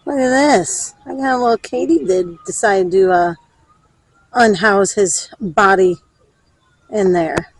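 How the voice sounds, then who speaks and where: A woman's voice: medium pitch, slow, slightly rough, no echo, low-quality recording. A woman chatting casually and thinking aloud as she demonstrates for a garden vlog. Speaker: garden vlogger.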